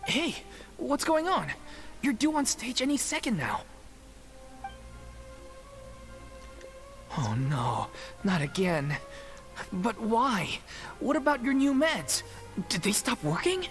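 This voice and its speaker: An excitable young troupe actor from a game, very animated, worried about friends. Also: female voice, a little high, unhurried, faint music behind. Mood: alarmed. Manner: expressive, colloquial.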